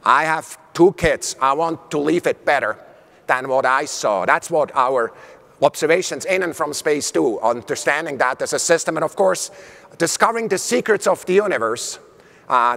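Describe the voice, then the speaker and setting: Brief: middle-aged Swiss man, animated stage keynote, gravelly slightly high voice, long reverb of a big hall. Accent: Swiss German. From Switzerland. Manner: animated, gesturing while speaking on stage. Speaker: keynote speaker. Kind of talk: conference keynote talk. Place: large conference hall stage. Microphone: lapel mic.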